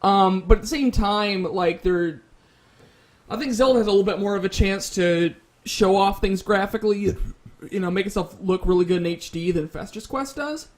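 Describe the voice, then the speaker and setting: A man's voice: high voice, normal speed. A man moderating a casual panel discussion, working through his points with hedges and restarts.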